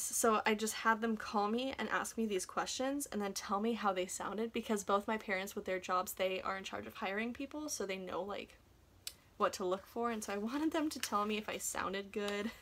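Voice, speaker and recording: A woman's voice: mid-range voice, moderate pace, fairly bright tone. A young woman talking casually in a chatty, self-deprecating way, like a vlogger sharing advice. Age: young adult.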